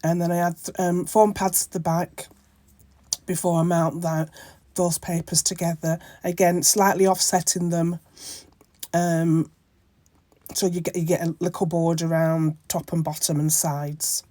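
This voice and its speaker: A woman narrates her crafting project in a loose, rambling way, full of ums and restarts. Her voice is croaky from a cold and sits low for a woman. The sound is dry and close, with no room around it. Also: flat delivery, measured pace, warm tone, no room sound. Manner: rambling, many ums and self-corrections. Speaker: craft channel host. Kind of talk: casual crafting tutorial narration.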